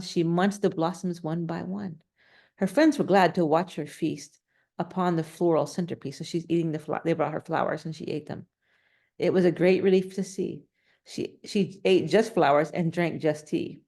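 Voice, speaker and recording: A woman's voice: fairly low pitch, fairly even intonation, moderate pace, darkish tone. A woman reading a children's storybook aloud, adding casual, simple explanations and questions for young listeners. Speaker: storybook reader.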